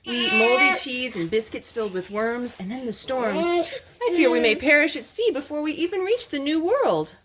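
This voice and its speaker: A woman's voice: high-pitched, sing-song, normal speed, crowd noise, pitched above the performer's own voice. A woman reading the lines of an affectionate, earnest young Pilgrim girl in a storybook, with old-fashioned phrasing. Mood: worried, earnest. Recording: clearly noisy home recording.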